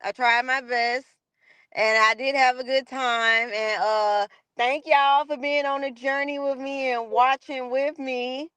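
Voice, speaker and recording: A woman chatting casually as a livestream guest in a chatty, relaxed way. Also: sits high, unhurried, clear, resonant tone, no echo. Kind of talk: casual chat.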